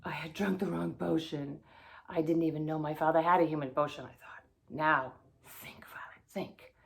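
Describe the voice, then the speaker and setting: A woman with a fairly low voice reads a story aloud. Her delivery is expressive, in the manner of a storybook read-aloud for children. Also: moderate pace, creaky. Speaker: guest story reader.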